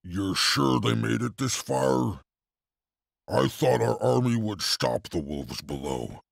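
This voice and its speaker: An actor playing a cruel, vengeful warlord in a fantasy game, with a raspy growl and menacing, theatrical delivery. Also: male voice, fairly low pitch, animated, slow, no echo, studio quality, full character voice. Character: cruel male Serpent Clan warlord, whip-wielding, vengeful, leering. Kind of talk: dramatic fantasy game voice acting.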